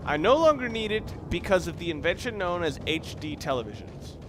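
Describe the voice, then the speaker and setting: A man's voice: sits high, very animated, a little fast, background noise. A young man giving casual, rambling commentary over a video game and correcting himself as he goes. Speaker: let's play commentator.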